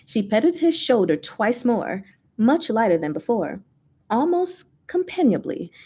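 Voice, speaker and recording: A woman reading fiction aloud as narrator, in an expressive storytelling style. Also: a little high, measured pace, slightly rough. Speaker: fanfiction reader, channel host.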